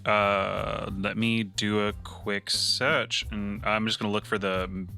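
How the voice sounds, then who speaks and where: A man with a slightly low voice talks casually as a player in a tabletop game, laying out his character's moves. Music plays clearly behind him. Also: level tone, slow, sharp tone. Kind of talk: casual chat, describing his character's actions.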